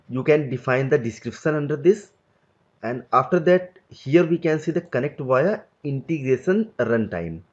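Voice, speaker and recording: A man's voice: medium pitch, darkish tone. Guy doing a screen tutorial, step by step, kinda dull lo-fi audio. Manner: step-by-step explanatory, loose phrasing. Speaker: tutorial instructor. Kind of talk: screen-recorded software tutorial.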